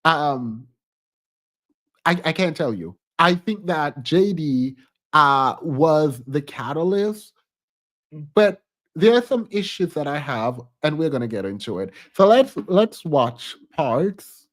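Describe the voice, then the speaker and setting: Stuffy-voiced man slowly musing on politics. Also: fairly high pitch, expressive, a bit bright. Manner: chatty and reflective, frequent ums and restarts, occasional laughs. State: congested, stuffy from a cold. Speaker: commentary channel host. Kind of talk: casual political commentary.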